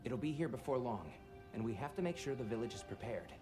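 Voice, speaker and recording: Expressive, earnest village man, acted. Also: mid-range voice, fast, close to an everyday voice. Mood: earnest, hopeful. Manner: formal, sincere. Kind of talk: video game cutscene acting. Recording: music behind. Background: music.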